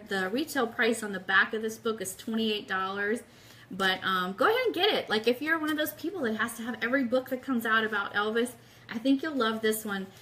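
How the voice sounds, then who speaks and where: A woman's voice: fairly high pitch, fairly bright tone. A woman hosting a radio show livestream, chatting casually and enthusiastically with her audience and reading aloud. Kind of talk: casual livestream chat with book read aloud.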